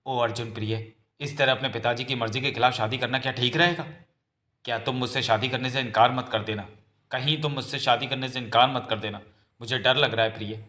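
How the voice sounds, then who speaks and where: Casting: male reader playing young village bride with a secret witchy side, coy, sweet, bright-edged voice, comic-book drama. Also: mid-range voice, steady tone, normal speed, lightly acted. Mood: anxious.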